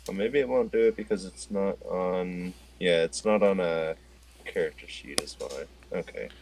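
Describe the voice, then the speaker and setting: Game master, male, low voice, halting, faint hiss behind. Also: darkish tone, poor audio. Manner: halting, loose instructions. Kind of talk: running a tabletop game over a call. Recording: faint background noise.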